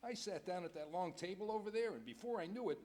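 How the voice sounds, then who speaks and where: An actor playing a hapless, flustered pal in an old-time radio comedy. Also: male voice, high voice, expressive, quick pace, darkish tone.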